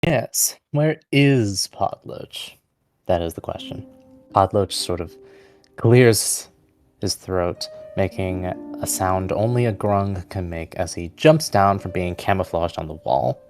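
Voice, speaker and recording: A man narrating a tabletop fantasy game as game master in a measured storytelling manner. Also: deep voice, darkish tone, faint music behind.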